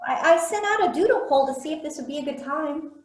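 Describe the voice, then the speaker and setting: A woman's voice: high-pitched, lively intonation, fast talker, echoey room. A woman reading a flustered, chipper forties host. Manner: hesitant, stammering. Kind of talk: staged play reading over video call.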